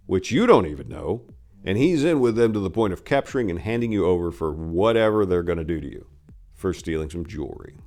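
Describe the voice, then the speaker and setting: A man's voice: on the low side, darkish tone. A man voicing a domineering, explosive younger crime boss in a crime story, commanding and profane as he interrogates.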